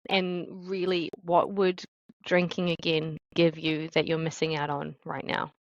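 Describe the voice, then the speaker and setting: A woman's voice: sits low, little pitch movement, a little slow, dry recording, lo-fi sound. A woman co-hosting a podcast interview, speaking thoughtfully and asking curious questions. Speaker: podcast co-host.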